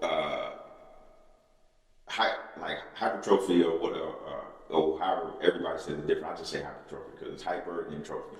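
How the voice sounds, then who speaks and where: Deep voiced trainer guy hyping people up, kinda rambly, echo everywhere. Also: steady tone, a little fast. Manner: rambling, repetitive, motivational. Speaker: personal trainer and podcast co-host. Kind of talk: casual podcast chat. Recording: very echoey room with long reverb.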